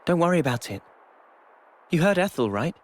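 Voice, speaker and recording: A man's voice: fairly high pitch, very animated, a little fast. An actor playing an easygoing, reassuring young male soldier in a video game. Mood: earnest. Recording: music behind.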